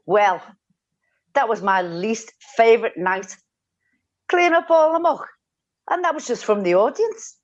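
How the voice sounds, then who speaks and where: Actress as high-voiced homely woman, dull audio. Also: measured pace, pauses often, natural voice. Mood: grumbling. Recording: dull online call audio.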